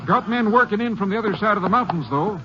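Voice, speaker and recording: High-voiced folksy sheriff played by an actor. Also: male voice, fast talker, background noise, close to an everyday voice. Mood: folksy, agreeable. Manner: folksy, calling out orders. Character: hearty, folksy western county sheriff leading a posse. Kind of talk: 1950s radio western acting. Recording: dull old radio recording, busy posse background.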